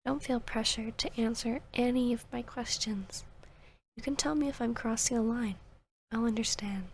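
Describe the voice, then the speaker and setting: Woman acting as female vampire therapist, ASMR roleplay, soft near-whisper, fairly high pitch, creaky, calm, professional, soothing. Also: slight background noise, lightly acted. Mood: calm, reassuring. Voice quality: breathy, soft. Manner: gentle, measured, professional, often near-whispering.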